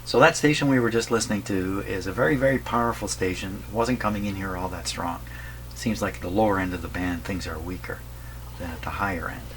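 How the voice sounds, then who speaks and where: A man's voice: sits low, brisk. A man giving casual workbench commentary as he tests a radio, often muttering to himself as he goes. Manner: muttering to himself while working. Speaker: radio repair hobbyist presenting. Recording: noisy workbench recording with electrical hum.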